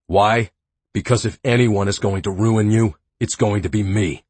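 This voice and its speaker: A man's voice: lowish voice, animated, normal speed, husky, no echo. A man voicing an obsessive, violent young man who growls and spits threats. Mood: menacing.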